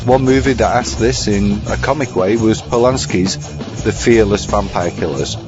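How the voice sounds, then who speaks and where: A man's voice: fairly low pitch, moderate pace. A man presenting scripted commentary as a podcast segment host, in a dry, bookish manner. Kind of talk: scripted film and book commentary. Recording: slightly dull sound over music.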